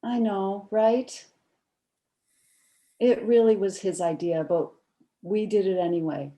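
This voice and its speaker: A woman's voice: mid-range voice, takes it slowly, dark tone, highs cut off, close to an everyday voice. A woman acting a coy, sheepish friend who guards a secret, giving hesitant, evasive replies in a conversational comic one-act.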